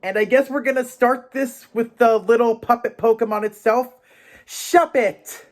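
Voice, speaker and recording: A man's voice: very high voice, fairly even intonation, unhurried. A man presenting to viewers as a playful professor host, chatty and theatrical, often putting questions to the audience. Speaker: host of a Pokemon education show.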